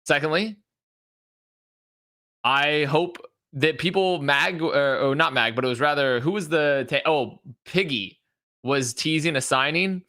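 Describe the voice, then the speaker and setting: A man on a podcast panel giving casual, animated match analysis in a wry, stop-and-start way. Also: fairly high pitch, unhurried.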